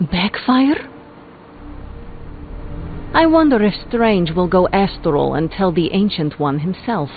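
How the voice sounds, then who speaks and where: A woman's voice: mid-range voice, close to an everyday voice. Game role: woman playing a wry, proud sorceress warrior, sardonic, small tinny sound over faint music. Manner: dry, sardonic. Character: wry, haughty female sorceress warrior, resolute. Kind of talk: video game voice acting. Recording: tinny band-limited game audio with music.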